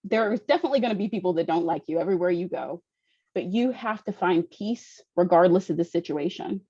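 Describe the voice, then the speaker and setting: Mellow woman speaking earnestly, dull audio. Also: fairly low pitch, lively intonation, a little fast, husky, no echo. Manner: earnest, inspiring. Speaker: panelist. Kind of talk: motivational panel talk. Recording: dull, dry lo-fi call audio.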